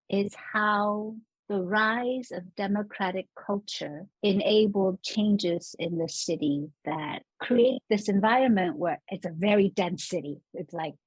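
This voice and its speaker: A woman scholar from Los Angeles explaining urban planning in a casual interview, animated and laughing now and then. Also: medium pitch, slightly rough, no room sound, low-quality recording.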